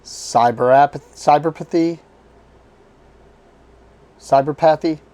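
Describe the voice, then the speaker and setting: A man hosting a casual card game unboxing, his voice strained and tiring as he reads card names aloud in short halting bursts. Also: on the high side, darkish tone. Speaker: board game channel host.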